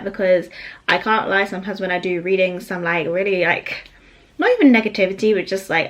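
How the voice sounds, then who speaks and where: A young woman with a soft, soothing voice, chatting casually as a tarot card reader in a small room at home, filmed by a camera across a desk. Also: medium pitch. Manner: chatty and rambling with fillers, later slow and hushed. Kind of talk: casual pick-a-card reading, then guided meditation.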